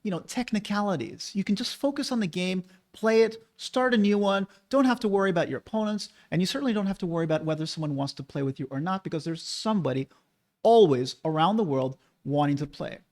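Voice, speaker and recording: A man's voice: sits high, expressive, fast talker, a little mellow. A man hosting his own channel, talking casually and persuasively to the viewer, asking rhetorical questions. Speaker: board game channel host. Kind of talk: casual opinion monologue.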